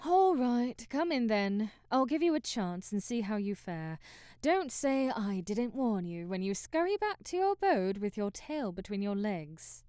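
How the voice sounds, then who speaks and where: A woman's voice: medium pitch, very animated, unhurried, dark tone, clean tone, dry recording, studio quality. A woman playing an eccentric traveling magician, brash, teasing and flirtatious, in an intimate, theatrical ASMR roleplay. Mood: brisk, challenging. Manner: theatrical, teasing, drawling asides and murmurs.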